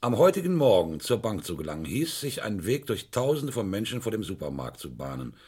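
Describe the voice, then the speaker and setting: Husky, low-voiced methodical police inspector. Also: male voice, very animated, a little fast, a little mellow. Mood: probing, dry. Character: probing, methodical middle-aged male police inspector. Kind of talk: German radio crime play acting.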